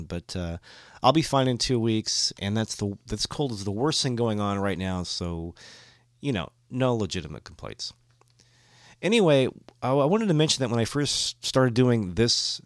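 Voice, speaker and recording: Hoarse, low-voiced man hosting a podcast. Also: no echo, studio quality. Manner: rambling, with frequent restarts and fillers. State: hoarse from a cold. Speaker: podcast host. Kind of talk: casual solo podcast talk.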